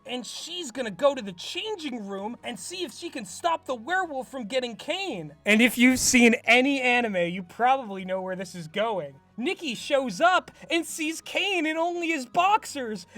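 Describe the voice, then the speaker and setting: A young adult man hosting a video, reading his old teen script aloud and mocking it in a wry, animated way. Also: very high-pitched. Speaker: YouTube host. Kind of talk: comedic commentary on an old script.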